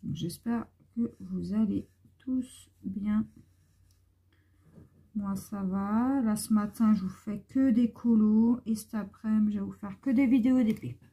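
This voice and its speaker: A hoarse woman talks to her viewers at an unhurried, drawn-out pace, her voice mellow and low in brightness. She rambles in a relaxed, wandering way, recorded close on a phone indoors at home. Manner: rambling, meandering talk. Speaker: vlogger. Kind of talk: casual chat to viewers.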